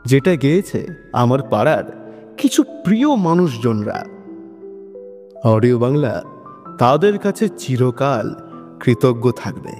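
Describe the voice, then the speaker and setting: Animated Bengali male storyteller in long reverb. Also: medium pitch, unhurried, pauses often. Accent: Bengali from West Bengal. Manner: expressive, conversational, with rhetorical questions. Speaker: narrator of an audio love story. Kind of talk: dramatic scripted storytelling, addressing listeners. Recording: long reverb with music behind.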